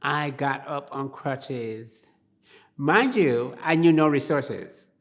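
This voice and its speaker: A woman's voice: rumbling low, takes it slowly. An older woman from Barbados, telling her life story in an oral history interview in a plain-spoken, reflective way.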